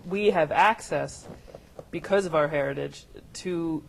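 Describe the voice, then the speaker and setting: A woman's voice: low voice, a little slow, vocal fry, a little hiss or hum, low-quality recording. A woman in her thirties, a filmmaker guest on a talk show, talking casually and hesitantly with many restarts. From United States. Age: 30s.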